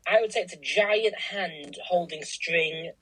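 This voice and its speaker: A man's voice: high voice, unhurried, fairly bright tone, low-quality recording. A man co-hosting a craft show in casual, jokey chat, often circling as he starts a sentence.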